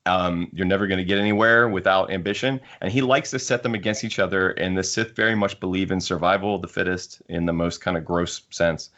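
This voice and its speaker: A man's voice: low-pitched, a bit bright. A man co-hosting a casual podcast panel, talking things through at length in an analytical, discursive way. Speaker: podcast co-host. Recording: dull, muffled lo-fi audio.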